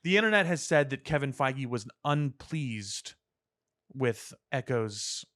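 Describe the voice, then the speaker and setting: Male podcaster, medium pitch, casual and wry, tight room-free sound. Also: lively intonation, takes it slowly, a bit bright, no echo, studio quality. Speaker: podcast co-host. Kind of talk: casual pop culture banter.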